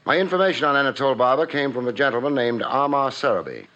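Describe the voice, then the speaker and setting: Hard-boiled gumshoe, dry wisecracking, lively inflection, forceful tone, old radio noir. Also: male voice, medium pitch, fast, slight background noise. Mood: reflective narration. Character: wry, hard-boiled private detective narrating his own cases. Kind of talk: 1950s radio noir narration and dialogue. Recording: muffled old radio recording, faint music.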